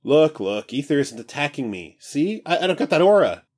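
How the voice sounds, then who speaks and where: A man voicing a chatty ancient male god-king who stammers and rambles with breezy excitement. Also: on the high side, normal speed, pitched above the performer's own voice. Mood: rambling, glib.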